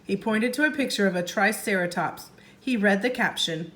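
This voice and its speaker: A woman teacher reading a story aloud to young children in an expressive way, pausing to explain and ask questions. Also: medium pitch. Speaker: first grade teacher.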